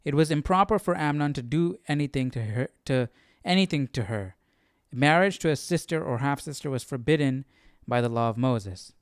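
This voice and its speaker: A man's voice: medium pitch, expressive, normal speed, clear, resonant tone, no echo, studio quality. A man hosting an informal Bible study, reading aloud and puzzling through the text hesitantly as he comments. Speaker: host of a live Bible study.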